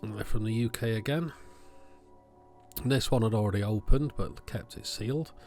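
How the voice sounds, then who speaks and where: Male hobbyist host, lowish pitch, casual and matter-of-fact, unscripted bench commentary, faint music. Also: moderate pace, darkish tone. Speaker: electronics hobbyist video host. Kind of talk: casual hands-on unboxing and testing commentary.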